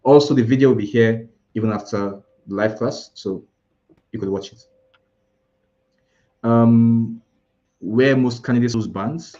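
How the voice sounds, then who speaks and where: A man's voice: medium pitch, unhurried, long pauses, dark tone, muffled recording. A man with a Nigerian accent, teaching an IELTS preparation class live, explaining scoring and listing word alternatives with frequent restarts. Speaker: IELTS tutor.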